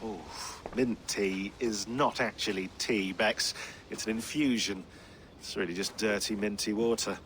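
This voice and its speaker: A man playing a dry, teasing team member in naturalistic video game dialogue, with a deadpan manner. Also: lowish voice, unhurried, slight background noise. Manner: deadpan, wry.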